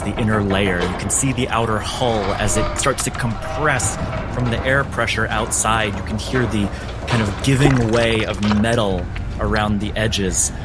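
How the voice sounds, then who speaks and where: A man narrating a tabletop role-playing game as game master, in a dramatic, descriptive way. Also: lowish voice. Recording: background music under the voice.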